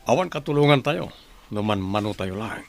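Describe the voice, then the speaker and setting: A man playing a businesslike male group leader in a radio drama, speaking deliberately. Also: fairly low pitch, lively intonation, gravelly, soft background music. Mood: concerned, businesslike.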